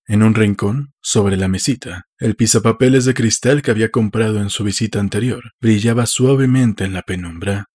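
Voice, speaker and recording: A man's voice: on the low side, a little mellow. A man reading a literary audiobook as a scripted narrator. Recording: music behind.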